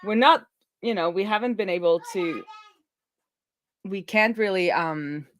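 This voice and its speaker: A woman's voice: medium pitch, a little slow, slight background noise. A middle-aged woman with a Norwegian accent, a native Norwegian speaker, hosting a casual livestream and thinking aloud with fillers and restarts. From Norway. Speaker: streamer unboxing a laptop.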